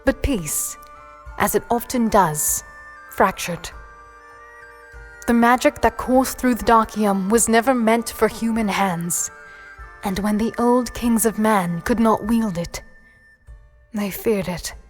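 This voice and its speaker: A woman playing an earnest storyteller, giving measured, deliberate scripted narration of a dark fantasy tale. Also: on the high side, soft background music. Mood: solemn.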